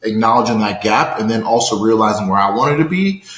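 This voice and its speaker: A man's voice: fairly low pitch, animated, fairly quick, a bit bright. A man from Liberia hosting a casual interview, encouraging his guest as he talks, recorded with a roomy echo. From Liberia, West Africa. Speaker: podcast host, interviewer. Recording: roomy, echoing sound.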